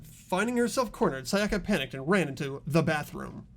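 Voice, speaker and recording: Man acting an earnest high-pitched schoolboy detective. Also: expressive, moderate pace, creaky. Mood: grave, determined. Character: earnest high school boy laying out a murder case. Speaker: amateur voice actor in a game playthrough. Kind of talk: dramatic dubbed narration of a mystery game.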